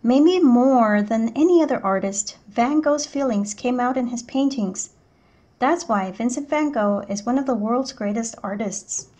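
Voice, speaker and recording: High-pitched female teacher, narrating a picture book expressively for children. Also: a little slow, mellow. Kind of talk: children's read-aloud narration.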